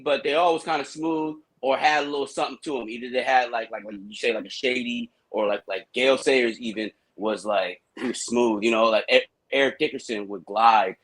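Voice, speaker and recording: A man's voice: mid-range voice, a bit bright, poor audio. A man in his forties, a coach on a casual sports podcast, explaining at length with many filler words. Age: 40s.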